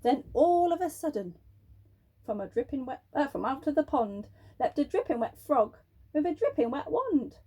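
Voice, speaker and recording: Expressive, high-voiced woman reading stories. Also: normal speed. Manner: expressive, addresses young listeners. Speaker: storyteller. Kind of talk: children's bedtime story read aloud.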